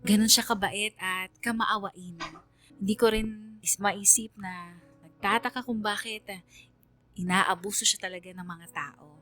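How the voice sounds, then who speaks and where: Earnest young storyteller over music. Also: female voice, medium pitch, fairly even intonation, measured pace, bright, forceful tone. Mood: regretful, pensive. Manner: earnest, confessional. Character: reflective, loyal young woman telling her friendship story. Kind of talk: radio drama narration, letter to a DJ.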